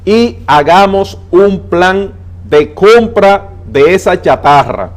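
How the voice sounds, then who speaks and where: Dominican guy on a tv show, highish voice, hammering his points with numbers. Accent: Dominican Spanish. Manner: emphatic, explains with figures, slows down to stress points. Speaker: transport adviser guest. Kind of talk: interview on a TV talk show.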